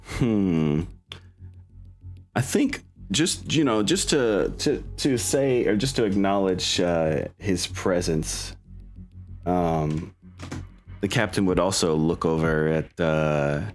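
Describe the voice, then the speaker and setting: A man acting as game master, chatting and narrating casually, haltingly thinking aloud as he goes. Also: sits low, animated, soft background music. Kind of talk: casual tabletop roleplay narration and chat.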